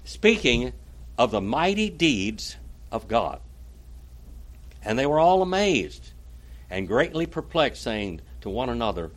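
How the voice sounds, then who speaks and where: A man's voice: a little high, a bit bright. Oklahoma-accented preacher quizzing his class. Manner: folksy, asks the class questions by name. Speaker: pastor. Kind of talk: interactive Bible teaching.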